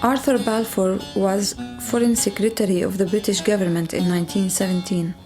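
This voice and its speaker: A woman in her forties from Lebanon, narrating a documentary in a measured, plain-spoken way. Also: medium pitch, fairly even intonation, over music.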